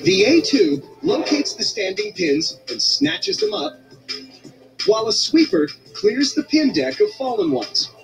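TV documentary narrator, man, gravelly voice, very animated pitch, clear music underneath. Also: rough recording. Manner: measured and smooth, with sweeping rises in tone. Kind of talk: scripted narration.

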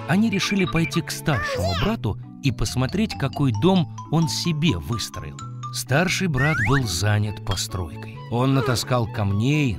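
A storyteller narrating a scripted fairy tale for children in an expressive, dramatic manner. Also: medium pitch. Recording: music behind the voice. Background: music.

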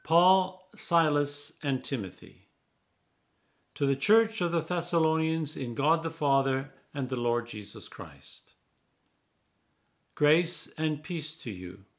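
Older man, slow solemn scripture reading. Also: medium pitch. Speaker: Anglican clergyman reading scripture. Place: small indoor room.

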